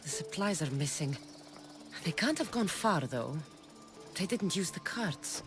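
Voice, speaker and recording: An actress playing a confident, dryly challenging young ancient Greek mercenary in a video game. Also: female voice, on the low side, expressive, measured pace, over music. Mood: pensive. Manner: cool, dry, challenging.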